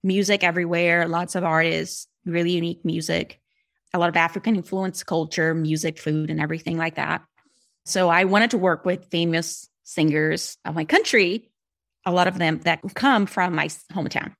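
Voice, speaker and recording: A woman's voice: fairly low pitch, normal speed, vocal fry. Chatty woman with a Brazilian accent. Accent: Brazilian Portuguese. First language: Portuguese. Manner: chatty, animated storyteller. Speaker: guest, speech-language pathologist and app developer. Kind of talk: casual podcast interview.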